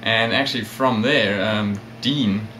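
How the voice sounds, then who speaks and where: A man of about thirty with a mixed South African, English and Australian accent, chatting casually and a little haltingly as an interview guest. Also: lowish voice. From South Africa, with years in England and Australia. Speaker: interview guest, ukulele player and magazine editor. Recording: roomy echo, steady background noise.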